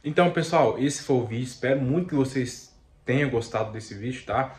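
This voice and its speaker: A man's voice: medium pitch, fairly quick, breathy edge. A young man with a Brazilian accent explaining beginner coding step by step, casual and chatty, often tagging lines with "tá?" and "né?". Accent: Brazilian Portuguese. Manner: repeats filler tags like "tá?" and "né?"; slangy, excitable outro.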